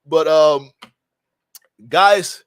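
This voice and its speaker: A young man from Nigeria hosting a live stream, giving an animated, preachy monologue with slangy asides. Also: fairly high pitch, very slow, smooth, dry recording, studio quality.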